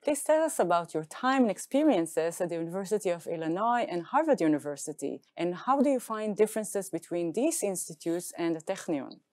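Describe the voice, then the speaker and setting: A woman's voice: on the low side, lively intonation, a little fast, few pauses, a little mellow, no echo, clean studio sound. A woman moderating a panel discussion, politely introducing each speaker. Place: television studio set.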